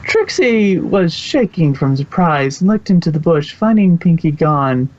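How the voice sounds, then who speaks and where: A man's voice: a little high, sing-song, measured pace, darkish tone, dull audio. A man reading scripted storybook narration for a fan fiction audio production.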